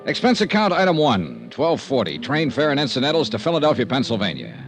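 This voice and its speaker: An American actor playing a wry, wisecracking insurance investigator in a 1950s radio detective drama. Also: male voice, mid-range voice, huge pitch swings, a little fast. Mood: brisk, businesslike. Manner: wisecracking, clipped. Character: wry, breezy male freelance insurance investigator. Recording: 1950s radio broadcast with music.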